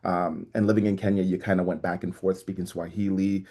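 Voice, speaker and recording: A man's voice: low voice, flat delivery, brisk, mellow. A man raised in East Africa and Canada, a native speaker of a Ugandan language, reflecting casually as an interview guest in circling phrases. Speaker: podcast guest, track coach.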